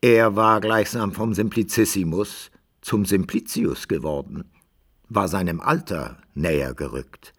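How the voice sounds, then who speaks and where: A man's voice: low voice, fairly bright tone, husky, full character voice. An actor playing a fussy storyteller in a radio drama, who muses aloud and questions himself as he narrates. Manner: musing aloud, self-questioning. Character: musing, fussy storyteller devoted to his hero.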